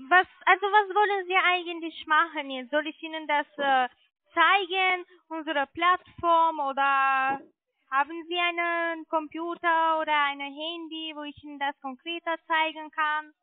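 A non-native German-speaking woman giving a hesitant sales pitch over the telephone as a call centre agent. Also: fairly even intonation, no room sound. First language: not German. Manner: hesitant, halting. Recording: muffled narrowband phone line.

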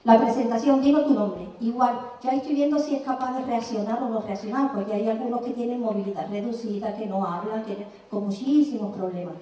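A woman's voice: fairly high pitch, brisk, hardly any pauses. Chatty Andalusian woman in an echoey hall. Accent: Andalusian Spanish. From Andalusia, Spain. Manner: lively, chatty, sings examples, asks the audience. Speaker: music teacher giving a conference talk. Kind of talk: conference presentation. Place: large conservatory auditorium, indoors. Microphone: handheld mic. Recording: very echoey hall, mic far off.

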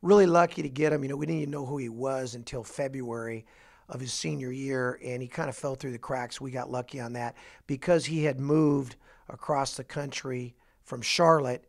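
A middle-aged man, a basketball coach, talking through his roster in an office interview with a handheld mic, halting with fillers and restarts. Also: mid-range voice, vocal fry. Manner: halting, many fillers and restarts, upbeat emphasis on each name. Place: office, indoors.

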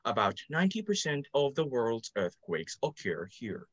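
A student voicing a calm, formal documentary narrator in a school radio drama. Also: medium pitch, moderate pace, dry recording, pitched below the performer's own voice.

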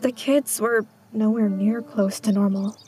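A woman playing a reserved, uneasy small-town schoolteacher in an audio drama, speaking in a hesitant, hushed way. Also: medium pitch, steady tone, unhurried, natural voice. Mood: uneasy, guarded. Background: music.

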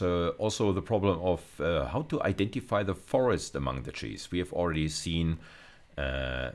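Very deep, creaky middle-aged man lecturing. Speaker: university lecturer. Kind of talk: informal screencast lecture, explaining code step by step.

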